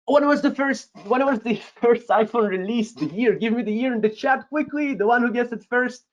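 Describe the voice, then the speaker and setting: A man's voice: very high-pitched, moderate pace. A man in his thirties whose first language is Russian, teaching a live webinar with a Russian accent. He talks straight to the chat, tags his points with "yes?" and chuckles now and then. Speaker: webinar host, Amazon seller coach. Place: home room, indoors. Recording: slightly dull lo-fi webcam audio.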